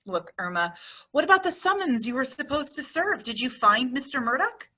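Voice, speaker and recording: A woman plays the exasperated, sensible friend in an old-time radio comedy. Her voice is fairly high and forceful, with lively swings in pitch. She is brisk and wry. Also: slightly rough, heavily acted.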